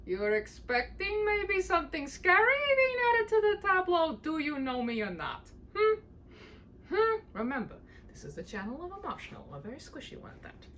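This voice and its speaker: A woman hosting a read-aloud, moving between playful chat and dramatic, animated storybook narration. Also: extremely high pitch, moderate pace, a little hiss or hum. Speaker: channel host reading a classic aloud. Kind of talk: chatty host talk and expressive storybook narration.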